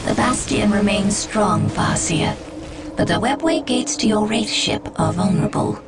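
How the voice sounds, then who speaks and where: A woman's voice: mid-range voice, lively intonation, unhurried, creaky. A woman playing a cool, watchful alien warrior adviser in a video game, with formal, theatrical speech. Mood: cool, urgent. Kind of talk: video game character acting. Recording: music underneath.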